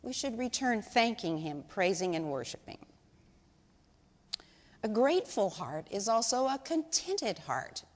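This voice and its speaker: An older woman speaks to a congregation in a relaxed, folksy way and often turns questions back to her listeners. She talks into a lapel mic in a large sanctuary. Her voice sits at a middle pitch with a long reverb trailing behind it. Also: a little slow. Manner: chatty, folksy, asks the audience questions. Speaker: lay speaker at women's service. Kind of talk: informal church talk to a congregation.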